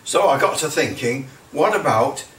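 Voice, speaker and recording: A man giving a casual hands-on demonstration, halting and thinking aloud as he repeats phrases. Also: a little high, expressive, a bit bright. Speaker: presenter of a hobby project. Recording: roomy, distant mic, faint noise.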